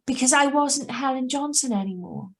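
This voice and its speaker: An actress plays an anxious young woman hiding behind a false name in a suspense radio drama. Her voice sits fairly high. She tells and lives the story in the manner of old radio, read over a video call. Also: lively intonation, normal speed. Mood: confessional, tense. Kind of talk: 1940s-style radio suspense narration and dialogue. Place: remote live reading over video call.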